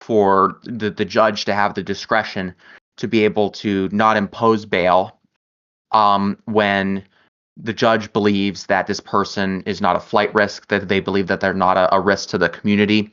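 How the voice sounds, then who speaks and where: A man's voice: on the low side, little pitch movement, measured pace, fairly bright tone, clear, resonant tone, lo-fi sound. A man co-hosting a news show, earnest and repeating words as he builds a point. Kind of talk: casual news commentary.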